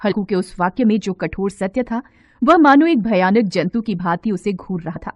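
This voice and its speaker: A woman storyteller reading a scripted tale in an expressive narrating style. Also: mid-range voice, normal speed, no echo. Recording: faint noise with cricket sounds.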